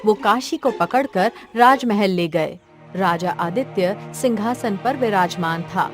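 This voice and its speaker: A woman's voice: medium pitch, unhurried, faint music behind. A woman narrating a scripted children's cartoon story as the storyteller.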